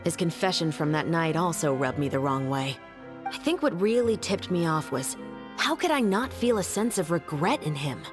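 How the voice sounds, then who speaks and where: An actor, a woman, playing a stern, principled young police officer in a video game, speaking in a measured, authoritative way. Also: low-pitched, animated, music in the background. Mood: confident, explaining. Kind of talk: dramatic video game voice acting.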